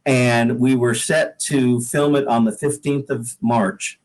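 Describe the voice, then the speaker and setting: Halting man chatting in an interview. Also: mid-range voice, fairly even intonation, rough recording. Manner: halting, repeats words while thinking. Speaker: guest singer-songwriter. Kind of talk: casual interview chat.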